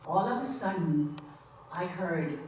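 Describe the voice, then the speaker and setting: A woman's voice: fairly low pitch, animated, darkish tone, distant mic. A woman reads aloud from a memoir at a book signing, taking her time and going back to fix her own slips. She sits well back from the microphone, so her voice rings around a very echoey room. A faint noise runs underneath. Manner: unhurried reading, correcting her own slips.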